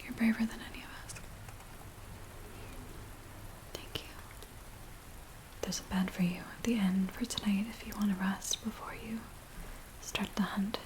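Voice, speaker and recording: A woman playing an anxious, earnest villager in a hushed, breathy, hesitant ASMR roleplay voice. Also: medium pitch, normal speed, lightly acted, low-quality recording. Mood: worried, pleading. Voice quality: breathy, whispery soft voice. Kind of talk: soft-spoken ASMR roleplay. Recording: steady rain noise behind close soft voice. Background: rain ambience.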